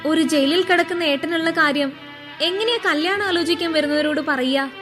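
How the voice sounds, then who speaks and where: An actress playing a cold, defensive young bride-to-be in a radio drama, a reproachful and pragmatic younger sister. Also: female voice, very high-pitched, normal speed, fairly bright tone, soft background music. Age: young adult.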